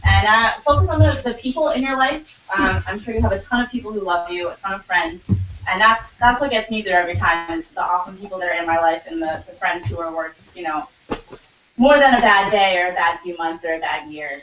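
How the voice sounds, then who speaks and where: A woman gives sincere, meandering advice in relaxed chat. She is picked up from across an echoey room, and the sound is dull and faintly hissy. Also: medium pitch, brisk, recorded from a distance. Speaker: cosplayer on a live stream. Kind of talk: casual live stream chat.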